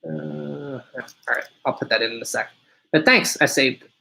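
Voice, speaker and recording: Man with a deep voice, chatting on a live stream. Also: moderate pace, creaky, pushed and bright, low-quality recording. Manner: chatty, answers viewer questions one by one. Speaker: live stream host reading chat. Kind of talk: casual live stream chat.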